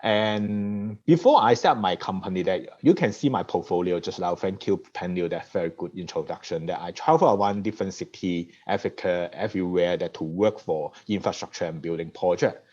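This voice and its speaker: Hong Kong-accented male guest speaker, low voice, lo-fi call audio. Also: brisk, hardly any pauses, a little mellow. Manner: repetitive restarts, non-native grammar. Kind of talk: conference talk over video call. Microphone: video call. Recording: dull lo-fi video call audio.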